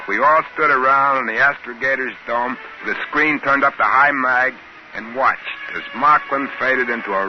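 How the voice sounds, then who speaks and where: A man's voice: medium pitch, lightly acted. A man acting folksy, plainspoken spaceman narrator. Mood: reminiscing. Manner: colloquial, homespun grammar. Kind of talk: 1950s radio drama narration. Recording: muffled 1950s radio recording, faint noise.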